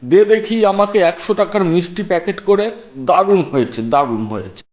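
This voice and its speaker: A man's voice: high voice, big pitch swings, a little fast, lo-fi sound. A man voicing a jovial, hungry village customer in a Bengali cartoon, hearty and repeating himself with relish. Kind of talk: Bengali moral-story cartoon dubbing.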